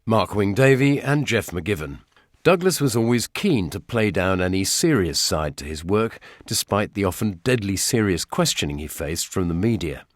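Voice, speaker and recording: A man's voice: fairly low pitch, animated, moderate pace, dry recording, clean studio sound. A middle-aged British man presenting a radio documentary in scripted narration.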